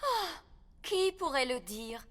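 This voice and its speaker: A woman's voice: high-pitched, sing-song, slow pace, fairly bright tone, in a large hall, exaggerated voice. A woman voicing a bossy, confident fairy nanny in a children's cartoon, with a theatrical, fussy manner. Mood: fussy, matter-of-fact. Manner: theatrical, self-assured, fussy.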